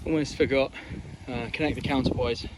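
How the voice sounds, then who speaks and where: A man's voice: medium pitch, steady tone, a little fast. A man casually presenting portable radio gear in an informal, practical, slightly hesitant way, recorded outdoors. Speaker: amateur radio operator, video presenter. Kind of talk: casual hands-on gear demonstration and chat. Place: outdoors in open countryside. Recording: windy, noisy outdoor microphone.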